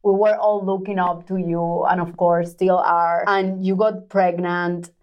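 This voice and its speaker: A woman's voice: fairly low pitch, flat delivery, unhurried, smooth, highs cut off. A woman hosting an interview, enthusiastic and affirming, drawing out her guest's story. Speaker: interview host.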